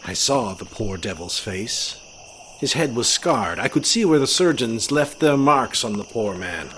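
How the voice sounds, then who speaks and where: A man playing an earnest, uneasy young gentleman heir in an audio drama, with a dramatic delivery. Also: mid-range voice, animated, unhurried, a little hiss or hum. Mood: weary, compassionate. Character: Sir Henry Baskerville, earnest, uneasy young heir from Canada.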